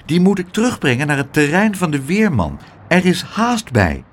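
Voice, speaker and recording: A man's voice: a little high, wildly swooping pitch, moderate pace, voice pushed up. A man voicing a young boy hero in a children's storybook, polite, earnest and coaxing. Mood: patient, insistent.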